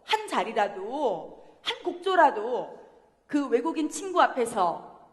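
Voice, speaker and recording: A woman's voice: high voice, a bit bright. A woman in her thirties with a Jeolla accent, a pansori singer leading a playful lesson in a university hall, laughing often and coaching the audience. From Jeolla, Korea. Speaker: pansori singer teaching a class. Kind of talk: lively workshop lesson with sung demonstrations. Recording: long hall reverb from a concert hall.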